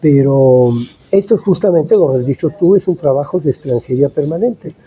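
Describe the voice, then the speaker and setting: A man in his seventies, a native Italian speaker, giving thoughtful, measured interview answers with frequent pauses. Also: a little high, animated, warm tone, muffled recording. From Italy. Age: 70s. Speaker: guest writer.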